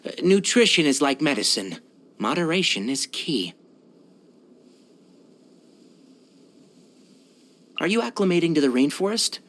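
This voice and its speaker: Young male fox-eared ranger for a game: clever, earnest, wry, measured diction, animated intonation. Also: a little high. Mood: earnest, instructive. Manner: articulate, measured, gently instructive, wry. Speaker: video game character voice. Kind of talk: scripted game voice-over monologue.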